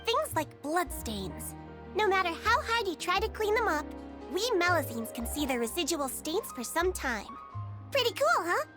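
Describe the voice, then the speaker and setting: A woman's voice: extremely high pitch, big pitch swings, moderate pace. A woman voicing a small, cheerful, polite creature in a game who inspects shops. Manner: polite, earnest, proud of her special eyes. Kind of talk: game voice acting. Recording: game dialogue over music.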